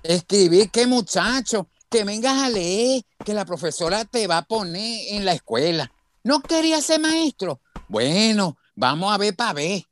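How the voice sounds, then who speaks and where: An actor playing a burly, kindly older boss in a radio drama, frank and colloquial. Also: male voice, high voice, very animated, unhurried. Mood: gruff, urging. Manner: colloquial, folksy.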